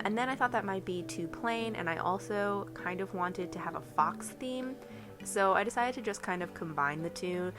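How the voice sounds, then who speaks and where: Young woman w a cold doing a chill voiceover, lowish voice, lots of ums, music playing under. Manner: chatty, rambling, lots of ums and likes. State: has a cold. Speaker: bullet journal vlogger. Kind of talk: casual voiceover narration. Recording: soft background music under voice.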